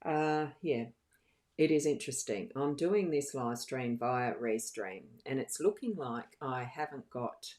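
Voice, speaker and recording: Very deep-voiced woman chatting on a livestream. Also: expressive, unhurried, a little mellow. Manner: chatty, giggly, scattered, thinking aloud. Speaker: live streamer and card reader. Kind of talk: casual live stream chat.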